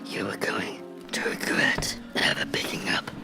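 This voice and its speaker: A performer in a horror ASMR role-play voicing a vengeful fictional cartoon character, whispering with slow, deliberate menace and a gravelly edge. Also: natural voice. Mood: cruel, menacing. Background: music.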